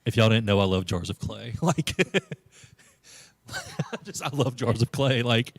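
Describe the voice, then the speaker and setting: A man's voice: expressive, slow, vocal fry, breathy edge. A man, a youth pastor hosting a playful live-stream interview chat. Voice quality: low voice. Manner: playful, joking, laughs often.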